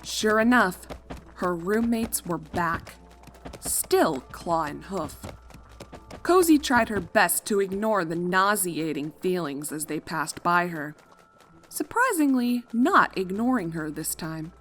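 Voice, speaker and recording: A woman's voice: fairly low pitch, sing-song, slow, fairly bright tone. A woman reading scripted story narration as the narrator of a fan fiction.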